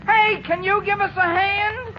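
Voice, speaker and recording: Eager teenage son, youthful cracking voice, earnest exclamations, 1940s radio comedy, dull noisy recording. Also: male voice, very high voice, steady tone, a little slow. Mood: eager, flustered. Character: eager, apologetic teenage boy, the hapless son.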